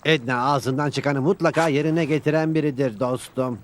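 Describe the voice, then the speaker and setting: A man's voice: medium pitch, fairly even intonation, moderate pace, a little hiss or hum, natural voice. A man playing a weary, meek pioneer husband in a dubbed cartoon, mild and appeasing as he reasons with his wife. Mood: earnest. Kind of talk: cartoon dub acting.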